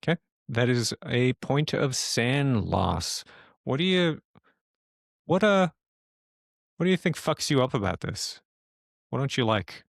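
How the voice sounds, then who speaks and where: Dude game mastering a tabletop rpg, loose teasing chat, swears a bit, really dry audio. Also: male voice, mid-range voice, lively intonation, measured pace, gravelly, long pauses, no room sound. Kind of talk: casual tabletop game moderating.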